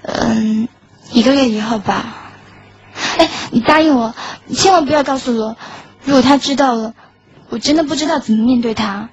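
Casting: woman playing schoolgirl with unspoken love, higher-pitched, earnest and self-effacing, radio drama, music behind. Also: normal speed, a little mellow, close to an everyday voice. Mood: tender, bittersweet. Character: lovelorn schoolgirl narrating her quiet heartbreak. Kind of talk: Chinese radio drama acting with inner monologue. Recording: dull lo-fi audio under clear music.

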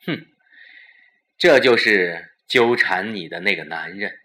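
A man's voice: sits low, lively intonation, slow, pushed and bright, full character voice. A man voicing a cold, scornful, scheming middle-aged husband in a suspense audio drama. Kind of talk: suspense radio drama acting.

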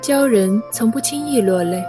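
A woman playing a gentle, sorrowful storyteller telling a legend softly in a Chinese costume radio drama. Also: a little high, animated, moderate pace, lightly acted. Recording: distant voice, music behind.

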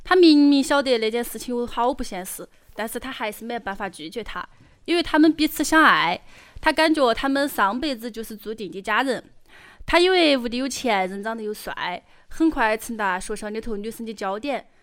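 A woman's voice: fairly high pitch, lively intonation, a little fast, clean tone. A woman with a Chongqing accent giving scripted narration for an audio drama.

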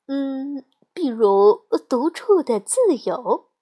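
A woman voicing a gentle mother bear who speaks calmly and softly. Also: high voice, takes it slowly, full character voice. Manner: calm, soothing, soft.

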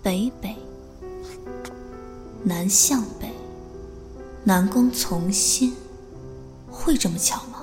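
A woman's voice: mid-range voice, drawn-out words, long pauses, a little mellow, soft background music. A woman playing a composed, mature young mother in an audio drama, reserved and a little strict. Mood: surprised, pondering. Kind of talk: modern audio drama acting.

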